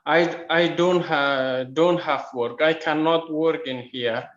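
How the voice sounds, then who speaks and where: A slightly high-voiced man with an Afghan accent tells his own story in halting, non-native English. He speaks slowly, over a video call with a clear room echo. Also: fairly even intonation. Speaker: guest, refugee. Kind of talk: personal account in a video meetup. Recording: echoey room, muffled video-call audio.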